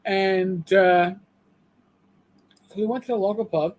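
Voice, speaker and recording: A man in his fifties co-hosting a livestream in casual, rambling chat, self-deprecating and prone to halting restarts. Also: high-pitched, steady tone. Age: 50s. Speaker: livestream co-host.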